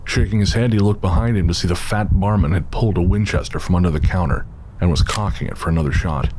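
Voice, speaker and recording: Low-voiced man narrating a dramatic story. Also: fast, noisy background. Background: music.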